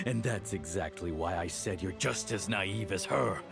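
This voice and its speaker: An actor playing a smug, cruel aristocratic baron in a video game, mocking his foes in a theatrical, drawn-out way. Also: deep voice, creaky, exaggerated voice. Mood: menacing. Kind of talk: dramatic video game acting.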